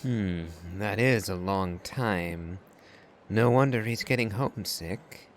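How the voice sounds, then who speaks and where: A man giving casual game commentary in a musing, measured, wordy way. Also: fairly low pitch, slight background noise. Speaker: game streamer.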